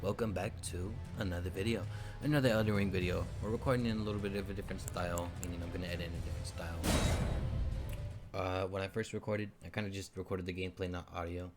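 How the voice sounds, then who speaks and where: A man's voice: low voice, fairly even intonation, fast talker, over music. A man hosting a gaming video, rambling casually with many fillers and restarts as he comments on his play. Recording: loud game sounds and hoofbeats behind.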